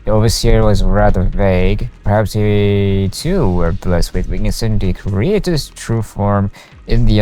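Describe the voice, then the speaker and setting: A man doing casual game stream commentary, muttering short reactions and haltingly reading on-screen text aloud. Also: lowish voice, gravelly, darkish tone. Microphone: streamer microphone over game audio. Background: faint game music.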